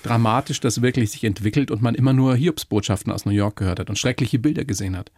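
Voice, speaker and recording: A man's voice: medium pitch, quick pace, darkish tone, dry recording, studio quality. A man hosting an interview, asking chatty, leading questions. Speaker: radio interviewer.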